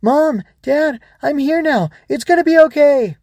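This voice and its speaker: Man voicing a high-pitched, pleading little girl. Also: lively intonation, normal speed, dark tone, dry recording, studio quality. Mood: desperate. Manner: pleading, whiny, exclamatory. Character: Ava, scared young girl gathering her courage.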